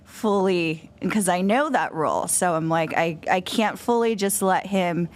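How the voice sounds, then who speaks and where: A woman's voice: fairly low pitch, a little slow. A woman speaking as a podcast guest in casual, slangy, emphatic conversation.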